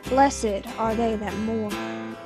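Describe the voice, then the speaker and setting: A woman playing a solemn radio drama storyteller, with a measured, theatrical delivery and music behind her. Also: fairly high pitch. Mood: solemn, dramatic. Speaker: narrator.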